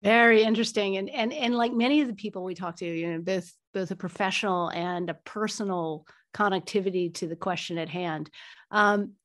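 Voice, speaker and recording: A woman's voice: mid-range voice, steady tone, a little fast. A woman hosting a professional podcast interview, introducing her guest and asking questions with a friendly manner and some repeated words. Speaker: podcast host, law firm partner.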